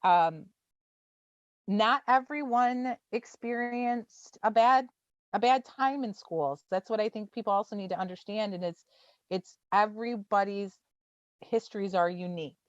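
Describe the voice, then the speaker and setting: Gentle forty-year-old woman on a video call. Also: medium pitch, measured pace, no room sound. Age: 40s. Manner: informal, gentle, with many fillers. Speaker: town hall presenter. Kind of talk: online town hall presentation. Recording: dull, dry video-call audio.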